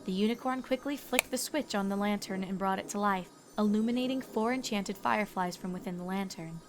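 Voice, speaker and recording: A woman's voice: medium pitch, fairly quick, background noise. A woman reading scripted story narration as the narrator.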